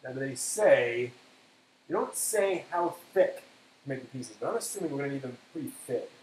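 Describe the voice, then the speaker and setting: A man's voice: medium pitch, animated, normal speed, a little mellow. A man hosting a casual, unscripted cooking live stream, thinking aloud in a hesitant way and trailing off as he works. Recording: roomy echo, background noise.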